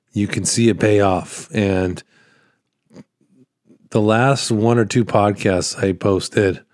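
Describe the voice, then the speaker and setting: A man with a deep, low voice talks casually as a solo podcast host. His voice is somewhat hoarse from a recent illness and falters at times. He rambles in a halting way, repeating phrases, and the sound is dry with very little room echo. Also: a little mellow. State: hoarse, voice cutting in and out after illness. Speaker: podcast host, small business owner.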